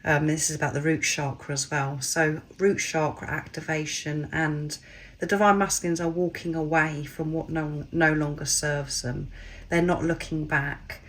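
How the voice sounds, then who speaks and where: A woman with a croaky, hoarse voice from a cold, gently reading tarot cards and addressing listeners warmly as souls. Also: sits low, little pitch movement, moderate pace, rough recording. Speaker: tarot card reader. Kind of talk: spiritual card reading to camera.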